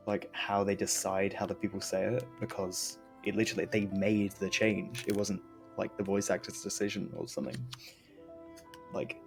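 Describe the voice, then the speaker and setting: A young man giving casual, rambling, hesitant commentary while streaming a game. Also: fairly low pitch. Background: faint game music.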